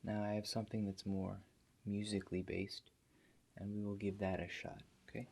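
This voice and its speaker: A soft-spoken, husky man doing an ASMR roleplay as a calm, reassuring doctor, explaining gently and without hurry, with pauses. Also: on the low side, slight background noise. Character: calm, reassuring doctor guiding a therapy session. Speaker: ASMR performer playing a doctor.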